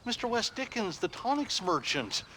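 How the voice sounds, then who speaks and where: An actor playing an eager, simple-minded frontier deputy in a Western video game, with an American Southern accent and a folksy, deferential manner. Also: male voice, sits high, sing-song, moderate pace, bright, forceful tone, slight background noise, exaggerated voice. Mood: earnest.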